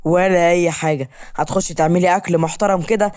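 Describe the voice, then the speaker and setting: A woman's voice: on the low side, fairly even intonation, quick pace, darkish tone, close to an everyday voice. A woman voicing a threatening, slyly teasing young male kidnapper in an audio story, with an Egyptian Arabic accent. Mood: sly, commanding.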